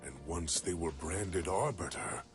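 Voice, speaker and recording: An actor playing a proud, stern and troubled alien warrior in a video game, speaking in a grave, formal manner. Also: male voice, low-pitched, unhurried, over music. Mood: grave, uncertain. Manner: grave, formal, weighty delivery. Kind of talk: video game cutscene acting.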